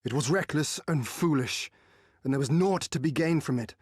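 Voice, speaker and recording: A man playing a proud, earnest noble warrior in a fantasy game cutscene, with formal, archaic, measured diction and a gravelly voice. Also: a little high, dry recording, clean studio sound. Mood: solemn, resolute. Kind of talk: dramatic game cutscene acting.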